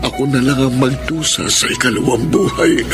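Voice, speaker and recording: An actor in a Filipino radio drama playing a frail, remorseful, dying old father, speaking haltingly and pleadingly. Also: medium pitch, natural voice. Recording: loud music bed covering the voice. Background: loud dramatic music.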